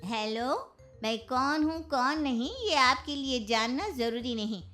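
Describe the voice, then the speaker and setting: A woman's voice: sits high, measured pace, pushed and bright, faint music behind. A woman playing a malicious anonymous female caller with a disguised voice, secretive and insinuating. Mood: sly.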